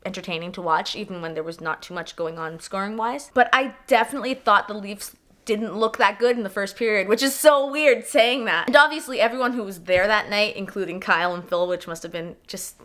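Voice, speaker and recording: A young woman vlogging about a hockey game, her voice bright and a little stuffy from a cold. She talks in an excitable, animated way and drops casual swears as she tells the story. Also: medium pitch, brisk. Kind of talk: casual game review vlog.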